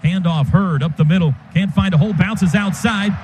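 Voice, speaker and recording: An American man giving live radio play-by-play of a football game in clipped phrases with excited calls. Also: high voice, moderate pace, a little hiss or hum.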